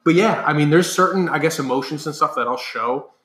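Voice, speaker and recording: A man, a corporate trainer, talking in a casual interview with a loud, high-energy, projecting voice. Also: fairly quick. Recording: echoey room.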